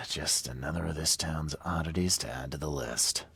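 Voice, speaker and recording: A man playing a weary, hard-boiled private eye in a video game, with a gravelly voice and a terse, dry manner. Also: moderate pace, bright, forceful tone, full character voice. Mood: stern, probing. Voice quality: very deep, gravelly, rough. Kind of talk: video game cutscene acting.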